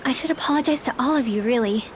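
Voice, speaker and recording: A woman's voice: high voice, fast talker, a little hiss or hum, lightly acted. A woman playing an earnest young girl in a fantasy video game, with a hesitant, confessional manner. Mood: remorseful, hesitant.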